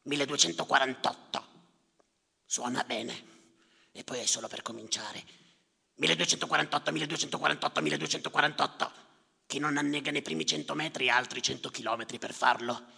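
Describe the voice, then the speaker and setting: A gravelly, sardonic bureaucrat on stage. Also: male voice, on the high side, fairly even intonation, a little fast, bright, forceful tone, exaggerated voice. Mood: insistent, self-satisfied, then grim, sardonic. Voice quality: gravelly, rasping. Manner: sardonic, contemptuous, obsessively repetitive. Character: callous, muttering male bureaucrat tallying drowned migrants. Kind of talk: theatrical stage monologue. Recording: reverberant hall, live stage.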